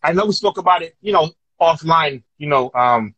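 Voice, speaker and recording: A man's voice: fairly high pitch, expressive, normal speed, bright, forceful tone, husky, no echo, highs cut off. A man hosting a podcast interview, encouraging his guest and hyping her up with slang. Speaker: podcast host. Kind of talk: casual conversational interview.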